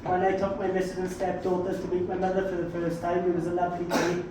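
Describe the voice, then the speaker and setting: A man's voice: high-pitched, completely flat delivery, quick pace, noisy background. A man with a South Welsh accent doing stand-up, telling brash, sweary, self-mocking stories with punchy raised punchlines. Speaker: stand-up comedian. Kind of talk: stand-up comedy storytelling. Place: comedy club stage. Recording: echoey club recorded from a distance.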